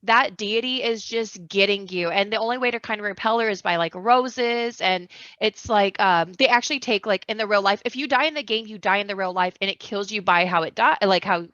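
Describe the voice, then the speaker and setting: A woman with a bright, forward voice chats casually as a podcast guest. Her microphone is a little dull and lo-fi, with no room echo. She sounds informal and enthusiastic. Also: medium pitch, fairly quick.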